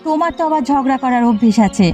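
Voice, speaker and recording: A woman's voice: high voice, animated, fast, warm tone, soft background music. A woman voicing a nagging, prying adult village wife in a cartoon. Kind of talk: cartoon dub acting.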